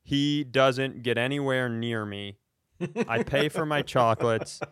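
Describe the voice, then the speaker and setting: A man tells a casual comic story in a smooth, clear voice with a very dry, close sound. He is self-deprecating and swears freely, in the style of a comedy podcast host. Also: medium pitch, unhurried, fairly bright tone, no room sound.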